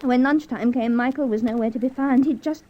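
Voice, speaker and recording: An actress playing an anxious, grieving wife in an old radio crime drama, breathy and emotional. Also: female voice, high-pitched, fairly quick, mellow, lightly acted. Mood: distraught. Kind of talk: 1950s radio drama acting. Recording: dull old radio recording.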